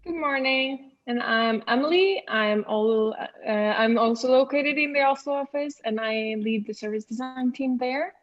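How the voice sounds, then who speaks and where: A woman leading a service design team, giving a relaxed, chatty introduction of her company. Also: sits high, a little slow, few pauses, highs cut off.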